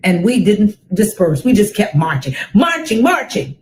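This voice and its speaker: An American woman in her sixties reads a children's story aloud with dramatic, deliberate phrasing and emphatic repetition. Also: mid-range voice, big pitch swings, darkish tone, slight background noise. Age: 60s.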